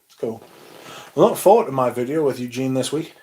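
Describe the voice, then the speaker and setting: A young man hosting a casual livestream, reading chat comments aloud and chatting in a loose, relaxed way with casual swearing. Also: medium pitch, fast. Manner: laid-back, swears casually, mumbles asides, reads chat aloud. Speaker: livestream host.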